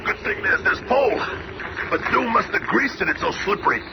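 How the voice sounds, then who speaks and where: An actor playing a gruff, hot-tempered, brawny superhero in a 1970s radio drama, blustering and wisecracking. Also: male voice, high-pitched, lively intonation, brisk. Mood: straining, determined. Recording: noisy vintage radio broadcast recording.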